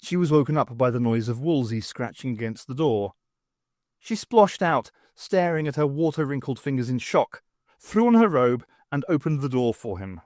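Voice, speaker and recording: A man reading a novel aloud as an expressive audiobook narrator. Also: mid-range voice, normal speed, dry recording, clean studio sound.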